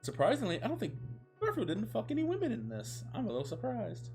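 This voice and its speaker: A man's voice: high voice, huge pitch swings, quick pace, creaky, a little mellow, soft background music. A man streaming a comedic fan fiction reading with casual chat, amused and given to swearing. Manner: amused, profane.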